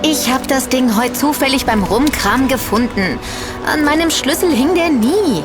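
A woman's voice: high voice, lively intonation, unhurried, music in the background. An actor playing an impatient young woman who wants to enjoy her city trip. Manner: impatient, dismissive.

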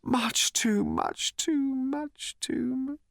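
Frantic, high-pitched, half-mad journalist. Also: male voice, big pitch swings, a bit bright, no echo, clean studio sound. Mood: anguished. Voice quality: whimpering, hoarse whisper rising to strained high cries. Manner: halting, repetitive, overwrought.

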